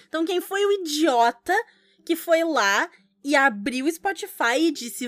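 High-voiced woman podcast host, dry mic. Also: a bit bright, dry recording. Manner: playful, swears, mock outrage at listeners. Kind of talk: casual podcast chat.

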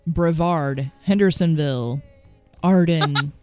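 Stuffy, slightly raspy woman hosting radio. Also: low voice, slow pace, music in the background. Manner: self-deprecating, laughs often. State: stuffy and a little raspy from a cold. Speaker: radio show host. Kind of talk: casual chat.

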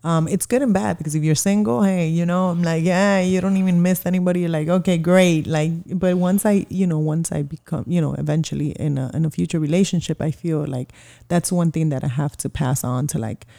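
A woman's voice: on the low side, fairly quick, vocal fry, hardly any pauses, warm tone. A woman in her forties, a small business owner, chatting casually and rambling with lots of fillers in an interview. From Colombia.

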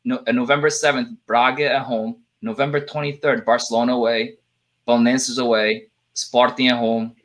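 Man, non-native accent, excitable, stumbling, casual sports banter, middle pitch. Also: normal speed. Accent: non-native English accent. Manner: excitable, stumbling restarts, swears. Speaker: podcast panelist.

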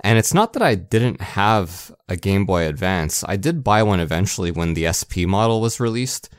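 A man's voice: deep voice, animated, moderate pace, no echo, studio quality. A man co-hosting a gaming podcast in casual, informal chat with a wry way of talking. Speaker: podcast co-host. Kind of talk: casual podcast chat about video games.

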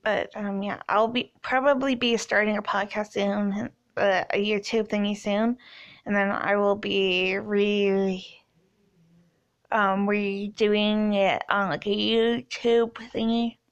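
Young woman, twenties, from Canada, podcast host, casual rambling chat, middle pitch. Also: level tone, takes it slowly, dull audio. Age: 20s. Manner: rambling, many ums and likes. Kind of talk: casual solo podcast intro.

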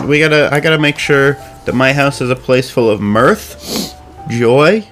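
Young man, stuffed up, podcast co-host, mid pitch, self-mocking stories, faint music. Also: a little slow, fairly bright tone. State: stuffed up with a cold. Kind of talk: casual podcast banter.

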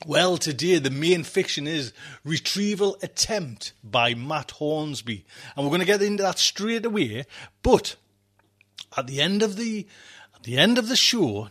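Male podcast host, fairly high voice, chatty, rambling introduction, repeats himself. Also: very animated, normal speed, fairly bright tone.

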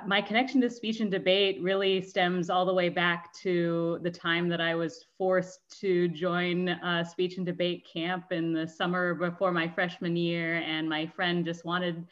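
A woman in her thirties from the San Francisco Bay Area speaks as a guest, clear and articulate, with easy laughter. Her voice sits fairly low. The recording is dry and a little muffled. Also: fairly bright tone, no echo. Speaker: nonprofit founder, guest. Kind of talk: interview answers. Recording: dry, dull lo-fi audio.

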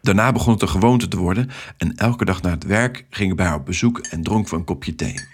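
A male storyteller with a lowish, husky voice narrates a children's tale in the first person. The delivery is scripted storytelling, told as the main character's own account. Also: brisk.

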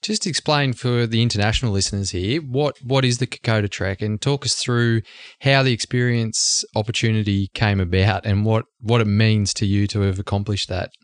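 A man's voice: fairly low pitch, steady tone, normal speed. A man hosting a podcast, reading a polished intro and asking interview questions. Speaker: podcast host.

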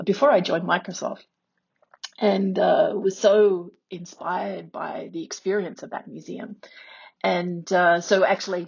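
A woman speaking conversationally as an expert commentator, reflecting on a podcast interview, with a husky, creaky voice. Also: on the low side, muffled recording.

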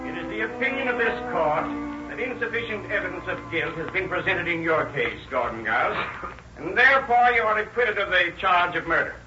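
An actor playing a solemn trial judge, formal and authoritative, in a 1930s radio drama style. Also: male voice, sits high, fairly quick, music in the background, lightly acted. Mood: solemn, official. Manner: formal, pronouncing. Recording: distant echoey muffled old radio recording.

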